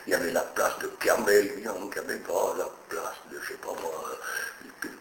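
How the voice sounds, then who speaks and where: An older man from Brittany, recalling his past in a halting, rambling way, repeating words as he searches for them. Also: low-pitched, fairly even intonation, vocal fry, a bit bright, roomy sound, a little hiss or hum, rough recording. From Brittany, France. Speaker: interviewee. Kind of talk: oral history interview.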